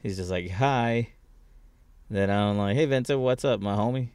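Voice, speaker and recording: Young male podcast host, low voice, dry close mic, loose rambling storyteller. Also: moderate pace, a little mellow, clear, resonant tone, no room sound. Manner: rambling storytelling, many restarts and fillers. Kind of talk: casual chat.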